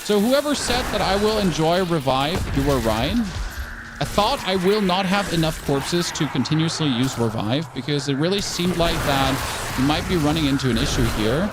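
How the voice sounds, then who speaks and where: A German man chatting excitably and casually while streaming a video game, often stumbling and restarting his words. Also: on the high side, normal speed, over music. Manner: chatty, excitable, self-correcting. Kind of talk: casual gameplay commentary.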